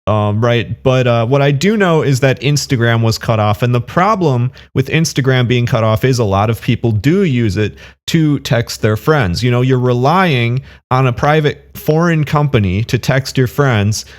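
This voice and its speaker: A man's voice: medium pitch, lively intonation, moderate pace, few pauses. A man giving casual, argumentative reaction commentary on a video. He is dismissive and keeps circling back with fillers. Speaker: online commentator.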